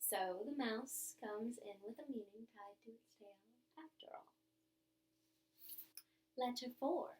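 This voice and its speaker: A woman giving an expressive, theatrical reading of old love letters, with deliberate pauses. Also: on the high side, echoey room, low-quality recording. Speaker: reader of bedtime stories.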